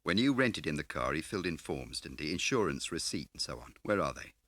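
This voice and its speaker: A man voicing a gruff, blunt, streetwise male detective with a terse, menacing manner in an audiobook. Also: very deep voice, very animated, brisk, a bit bright, dry recording, heavily acted. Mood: blunt, pressing. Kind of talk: audiobook character voice.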